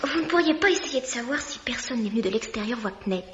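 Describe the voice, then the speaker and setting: An actress playing a persistent young amateur sleuth in a 1950s French radio mystery, with an eager, probing but polite manner. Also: female voice, on the high side, lively intonation, quick pace, close to an everyday voice. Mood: curious, brisk. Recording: dull vintage radio recording.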